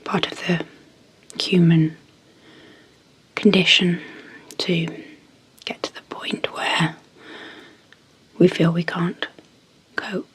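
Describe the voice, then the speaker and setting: A woman with a slightly low voice leads an ASMR sleep hypnosis. She speaks very slowly and gently, close to a whisper. Long, deliberate pauses separate her short phrases. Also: little pitch movement, poor audio. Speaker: ASMR host and clinical psychologist.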